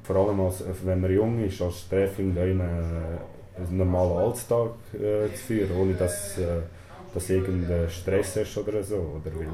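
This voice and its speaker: A young man in his twenties speaks with a Swiss German accent, his first language Serbian. His voice is low and very dark, muffled and mellow. He hesitates often, filling gaps and ending thoughts with questioning tags, in an echoey small room with faint noise behind. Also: fairly even intonation, normal speed. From Switzerland. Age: 20s. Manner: hesitant, many "äh" fillers and tag "oder?". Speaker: prison inmate interviewee. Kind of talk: interview answers. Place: small storeroom in a prison.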